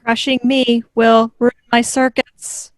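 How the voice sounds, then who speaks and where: A woman acts out an obedient little android girl from a sitcom. She speaks slowly and mechanically, taking everything literally, in a stilted, emotionless manner. The sound is close and dry. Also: a little high, level tone, no echo. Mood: flat, obedient. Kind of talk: sitcom table read.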